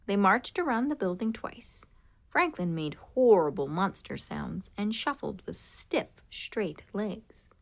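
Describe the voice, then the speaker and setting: A woman reads a children's picture book aloud, expressive and animated. She takes her time, letting her voice rise and fall widely. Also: medium pitch, slow, creaky. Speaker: storybook reader. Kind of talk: children's read-aloud narration.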